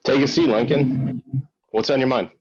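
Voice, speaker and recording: A smug, balding showrunner in his fifties, read by a man at a table read teases with mock sympathy and turns bullying. His middle-pitched voice comes through a slightly muffled recording. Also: steady tone, normal speed, darkish tone, lightly acted. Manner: smug, mocking, then bullying.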